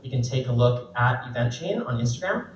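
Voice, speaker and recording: A man, a company head, giving a short, friendly and slightly halting pitch. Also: lively intonation. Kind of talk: short announcement. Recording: echoey room, distant dull mic, faint hiss.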